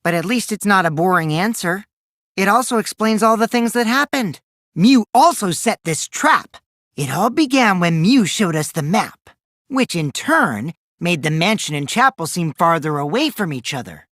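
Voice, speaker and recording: Impish, animated teenage trickster boy. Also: high-pitched, normal speed, dry recording, studio quality. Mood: mocking. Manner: childish, singsong mockery, theatrical swings from gleeful to coolly sly. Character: mischievous, taunting teenage boy, a compulsive trickster and liar. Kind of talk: English video game character voice acting.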